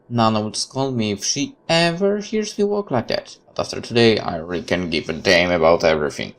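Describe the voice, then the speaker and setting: A man reading a horror visual novel aloud as a let's player, with casual commentary of his own. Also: mid-range voice.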